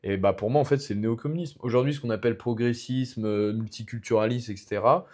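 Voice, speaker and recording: A man's voice: mid-range voice, quick pace, darkish tone. Rambling young Frenchman, fighter talking shop. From France. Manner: rambling, many restarts and fillers. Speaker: guest, MMA fighter. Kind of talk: casual podcast conversation.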